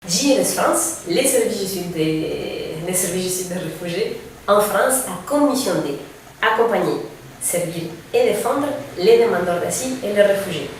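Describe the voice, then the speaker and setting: A woman in her thirties from Mexico, a refugee aid coordinator, calmly explaining her work in a presentation. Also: medium pitch. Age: 30s. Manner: explanatory, measured, with fillers. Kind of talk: presentation to camera. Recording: very echoey room, distant mic, faint noise.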